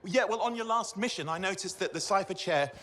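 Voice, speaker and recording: An actor playing an eager, chatty, restless young sidekick in a video game, with an animated, griping and emphatic manner. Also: male voice, high-pitched, a little fast, slight background noise. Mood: defensive, animated. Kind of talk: video game cutscene acting.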